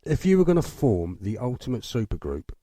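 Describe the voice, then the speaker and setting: A man's voice: medium pitch, sing-song, brisk, dark tone, no echo, studio quality. A man asking interview questions casually as a radio co-host.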